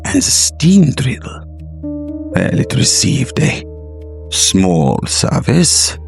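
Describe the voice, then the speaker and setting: A man voicing a proud, fervent middle-aged inventor professor with halting, deliberate phrasing in a gothic audio drama. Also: low voice, wildly swooping pitch, creaky, music in the background, exaggerated voice. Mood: sly, relishing.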